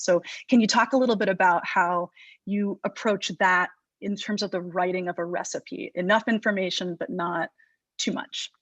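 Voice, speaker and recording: Lady hosting an author chat, measured and articulate, medium pitch, no room echo. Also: a bit bright. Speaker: interviewer, moderator. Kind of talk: conversational interview moderation.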